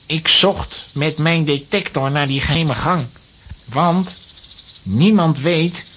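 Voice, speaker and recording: Slow, high-voiced obsessed castle owner. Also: male voice, fairly bright tone, a little hiss or hum. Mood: mysterious. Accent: Flemish. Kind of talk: children's audio drama acting.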